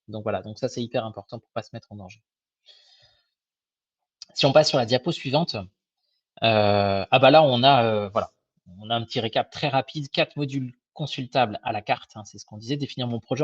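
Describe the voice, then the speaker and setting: A young man with a slightly hoarse, husky voice walks viewers through a webinar in a friendly, informal way. He hesitates often and restarts his phrases. The sound is dull and lo-fi, like a web call, and very dry. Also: mid-range voice, no room sound. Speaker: microfinance advisor presenting a webinar. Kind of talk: casual live webinar presentation.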